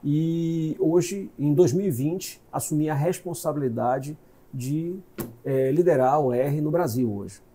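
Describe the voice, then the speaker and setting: A Bahian man in his forties, a guest telling his life story in a reflective, measured way. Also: fairly high pitch, dark tone, slight background noise. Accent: Bahian Portuguese. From Salvador, Brazil. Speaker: guest executive. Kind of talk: interview storytelling.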